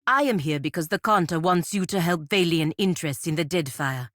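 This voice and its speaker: Low-voiced, stern woman knight played by an actress. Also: fairly bright tone, no echo, studio quality, natural voice. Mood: stern warning. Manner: formal, measured, admonishing. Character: proud, stern warrior knight sworn to her republic, woman. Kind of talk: video game character dialogue.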